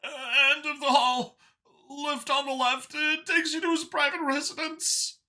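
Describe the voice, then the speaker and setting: A man's voice: very high-pitched, level tone, a little slow, bright, forceful tone, voice pushed up, full character voice. A man voicing a burly, fearful alien guard, a cornered father who speaks in a strained, pleading manner. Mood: frightened, strained.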